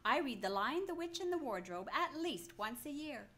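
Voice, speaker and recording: A woman's voice: sits high, sing-song, normal speed, fairly bright tone. A woman co-hosting an old-time radio show with agreeable, rehearsed lines. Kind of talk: scripted old-time radio banter and sponsor reads.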